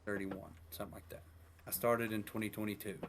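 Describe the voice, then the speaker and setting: A man in his twenties, a teacher and coach, chatting casually on a podcast and working through numbers out loud. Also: on the low side, a little fast, background noise. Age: 20s. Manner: relaxed, rambling, works through numbers aloud.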